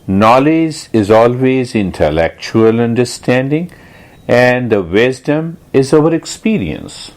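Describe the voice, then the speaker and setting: Man, meditation teacher, very slow pace, deliberate and repetitive instruction, mid-low pitch. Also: very animated. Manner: deliberate, repetitive, explanatory with rhetorical questions.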